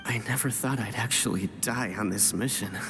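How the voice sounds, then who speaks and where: An actor playing a dying young male warrior in a game, spent and halting, wryly brave and resigned. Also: mid-range voice, close to an everyday voice. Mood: resigned, wistful. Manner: weak, halting. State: spent, near death. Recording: echoing hall-like reverb, ambient noise.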